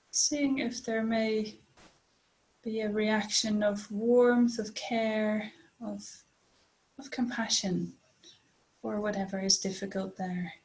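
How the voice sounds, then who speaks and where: A woman leading a guided body scan meditation as a teacher, speaking gently and unhurriedly with long pauses. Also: on the high side, rough recording.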